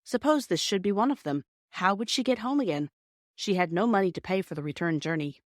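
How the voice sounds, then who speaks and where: A woman's voice: medium pitch, animated, brisk, dry recording, clean studio sound. A woman narrating a children's story from a script as an audiobook reader.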